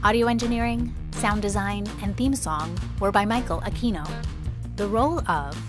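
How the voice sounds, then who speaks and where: A female host reads scripted announcements for a children's podcast. Her voice is medium in pitch, with music clearly playing behind her. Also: moderate pace.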